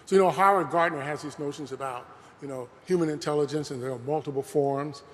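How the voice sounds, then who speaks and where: A man's voice: fairly high pitch, fast. A man giving an earnest, conversational public talk, often saying "you know" as he speaks. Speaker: lecturer presenting a talk. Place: large museum hall. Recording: long hall reverb.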